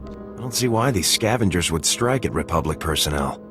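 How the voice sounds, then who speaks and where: An easygoing army lieutenant with a fairly low voice and a relaxed, dry way of talking. Music runs clearly underneath in this game cutscene. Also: male voice, moderate pace. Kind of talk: video game cutscene voice acting. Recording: game audio with music bed.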